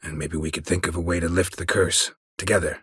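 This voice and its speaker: Gruff american monster hunter for a game, super raspy, dry sardonic deadpan, close dry studio sound. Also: male voice, fairly quick, dry recording, exaggerated voice. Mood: dry, guarded. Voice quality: husky, gravelly low voice. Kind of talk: video game voice acting.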